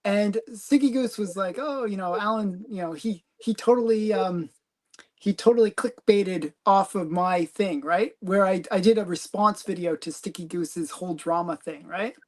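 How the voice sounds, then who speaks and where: A woman's voice: mid-range voice, moderate pace. A woman hosting a casual comic collecting livestream, chatty and rambling, giggling often. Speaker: host of a comic collecting channel.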